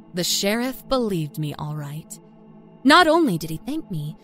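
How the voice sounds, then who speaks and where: A woman playing a self-satisfied small-town liar from Kentucky, telling her dark story in a folksy, confiding way. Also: on the high side. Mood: sly, gleeful. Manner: folksy, chatty, smugly confiding. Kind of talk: first-person dark storytelling. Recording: music under the narration.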